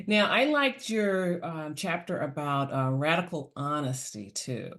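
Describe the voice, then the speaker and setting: A woman hosting a parenting podcast, reflecting aloud and sharing personal stories in a relaxed interview. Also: low-pitched, a little slow. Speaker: podcast host. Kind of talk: casual interview chat.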